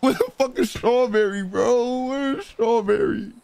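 A man's voice: very high-pitched, measured pace, vocal fry, a little mellow, no echo. A man role-playing a suspect on a game stream, chatting casually in street slang.

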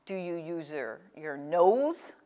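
A woman's voice: deep voice, big pitch swings, takes it slowly, warm tone. An older woman hosting a children's story time and craft, speaking in simple, encouraging phrases and questions to a young child. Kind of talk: reading aloud and guiding a child through a craft. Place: small indoor room with table. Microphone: lapel.

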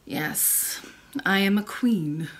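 Woman acting a very old royal grandmother, kindly, calm, unhurried assurance, mysterious, storybook character. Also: medium pitch. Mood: serene. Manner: measured, gently assured.

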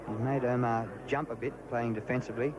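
A man calling ball-by-ball cricket commentary, lively and rising on big moments. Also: medium pitch, brisk. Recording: noisy old broadcast tape.

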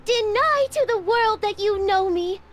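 A woman's voice: very high-pitched, expressive, a little slow, very noisy. A woman playing a melodramatic showgirl as a scorned lover in a video game, theatrical and declamatory. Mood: wounded, accusing. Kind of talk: animated video game acting.

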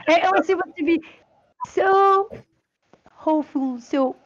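A woman in her thirties chatting casually on a panel through a headset, in animated, broken phrases. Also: very high voice, takes it slowly. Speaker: game developer, panelist. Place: home room on a video call. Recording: dull compressed video-call audio.

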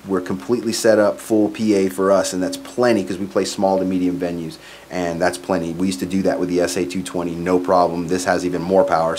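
A man in his forties giving a casual, matter-of-fact product demo as a gigging musician. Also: sits low. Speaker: musician reviewing live sound gear. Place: small curtained home studio, indoors. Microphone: microphone on boom stand into PA, plus some room sound. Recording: clear background noise, some room sound.

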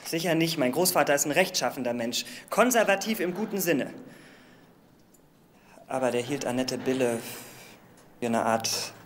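An actor playing a young, defensive aristocratic heir in a crime audio drama. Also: male voice, medium pitch, animated, moderate pace, pauses often, fairly bright tone, a little hiss or hum, close to an everyday voice. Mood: pleading, insistent. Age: young adult.

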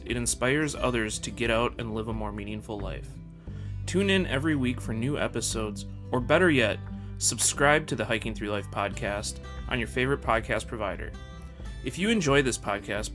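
A man's voice: mid-range voice, a bit bright. A man who produces a podcast, reading its scripted introduction as announcer. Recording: guitar music behind the voice. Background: plucked guitar music.

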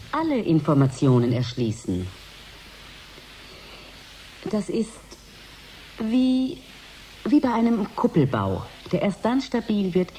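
Eine Schauspielerin spielt in einem Hörspiel eine gefasste, bestimmte Wissenschaftlerin, die im Interview förmlich und abgewogen erklärt. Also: female voice, fairly low pitch, warm tone, slight background noise. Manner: formal, measured explanation. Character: composed female scientist, reassuring yet resolute. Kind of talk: radio drama interview.

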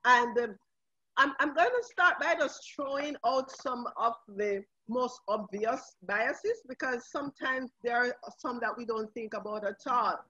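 A woman's voice: fairly high pitch, pushed and bright, slightly rough. A woman hosting and moderating a panel discussion. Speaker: forum host and moderator. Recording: harsh, roomy, dull online call audio.

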